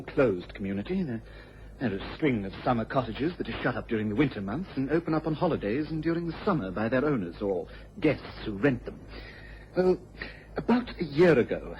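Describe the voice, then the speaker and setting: A man's voice: medium pitch, lively intonation, normal speed, natural voice. A man playing an earnest, respectful young English police inspector in a radio drama. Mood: earnest, explanatory. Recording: dull vintage radio recording, faint noise.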